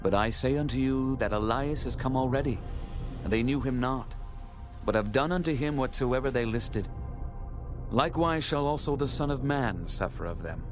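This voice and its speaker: Man playing Jesus, solemn commanding mid-pitched teacher. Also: moderate pace, music in the background, close to an everyday voice. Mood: earnest teaching. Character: Jesus, authoritative adult male teacher and messiah. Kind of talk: dramatized scripture acting, archaic English.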